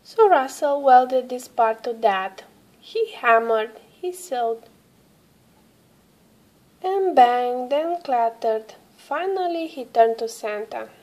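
Slow-reading woman narrating a storybook. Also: fairly high pitch, expressive, a little mellow, rough recording. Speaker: storybook reader. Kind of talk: expressive read-aloud storybook narration.

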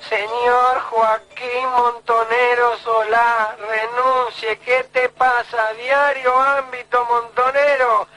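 A man's voice: extremely high pitch, faint music behind, exaggerated voice, low-quality recording. A man voicing a cranky, paranoid old woman who phones a radio show to make accusations, with an Argentine accent. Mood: accusing. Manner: slow, accusing, monotone. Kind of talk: parody radio call-in.

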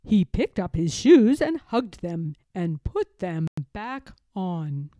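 A woman reads a children's picture book aloud with dramatic emphasis. Her voice is soft-edged and mellow, moving slowly with very lively intonation. The sound is close and dry. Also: fairly low pitch, dry recording. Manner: dramatic, with suspenseful pauses and emphasis. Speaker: read-aloud storyteller.

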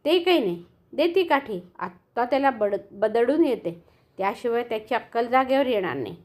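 A hot-tempered little girl, loud and indignant, pitched high as she protests, as a woman storyteller puts her on. She pouts and argues in a bright storybook voice. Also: sing-song, moderate pace.

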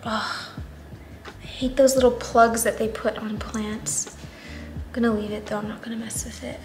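A young woman talks her way through a repot, her voice stuffy, nasal and a little worn out. She sits a bit high for a woman, hedging and murmuring asides to herself. Clear music plays underneath. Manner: chatty, self-doubting, many fillers and murmured asides. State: congested, nasal, voice partly gone. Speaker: plant vlogger. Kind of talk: casual repot-with-me chat. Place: indoors at a table.

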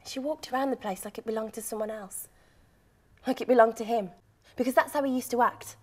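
A woman's voice: on the high side, brisk, lightly acted. A woman acting a bitter woman who recalls cruelty in a dramatic monologue, restrained with bitter emphasis. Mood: bitter, angry.

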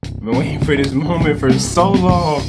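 A young man hosting a gameplay video, talking in an excitable, hyped way with loud outbursts and constant bros, recorded on a headset at home. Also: a little high, moderate pace. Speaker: gaming video host. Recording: loud game music behind.

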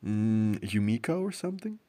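A man's voice: fairly low pitch, very animated, normal speed, warm tone. A man voicing a heartbroken, kindly, easily startled young man in a dramatic manga dub. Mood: gentle, wry.

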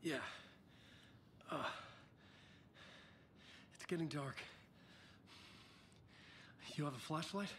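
An actor playing a frantic, haunted male writer in a video game, halting, breathless and urgent. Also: a little high, a bit bright, slight background noise. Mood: shaken, urgent. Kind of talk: video game drama acting.

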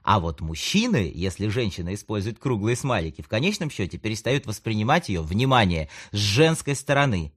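A man's voice: lowish voice, sing-song, brisk, hardly any pauses, husky. A man hosting a conversational audio monologue as a communication coach, chatty and playful, with ironic asides to the listener. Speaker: podcast host, communication coach and psychologist.

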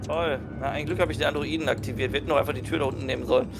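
A man's voice: a little high, fairly even intonation, rapid-fire, creaky. A man chatting casually as a player in a tabletop role-playing game, grumbling and sarcastic. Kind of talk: casual in-character game chat. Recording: music bed under voice.

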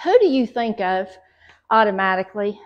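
A middle-aged woman with a Southern US accent teaching a church Bible study, reading scripture aloud and then explaining it with pauses and fillers, recorded by a camera at a distance in a small fellowship hall. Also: mid-range voice, big pitch swings, dull audio. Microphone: far camera.

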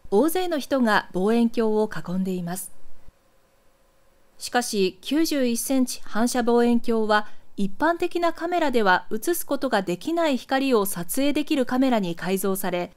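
A woman with a fairly high voice narrates archival news footage. She reads from a script in a measured, explanatory manner, enunciating each phrase clearly. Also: lively intonation.

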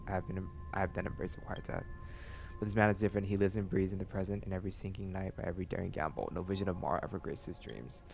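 A young man with a low voice and a noticeable creak, streaming over soft game music. He is theatrical and sassy, switching between reading story text and exclaiming at it. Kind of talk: live reaction commentary, reading game text aloud. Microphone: close streaming mic, seen on webcam.

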